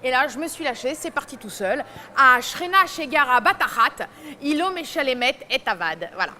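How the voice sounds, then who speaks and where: Lively high-voiced woman telling stories. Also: normal speed. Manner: lively storytelling, laughing often. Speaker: columnist. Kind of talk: comic chronicle on a talk show.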